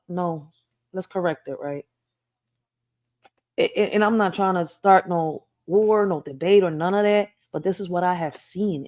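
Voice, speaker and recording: A woman's voice: medium pitch, a little mellow. A woman from Puerto Rico with a Philadelphia accent, hosting casual commentary and rambling with a frequent "right?". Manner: rambling, says "right?" often. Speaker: host.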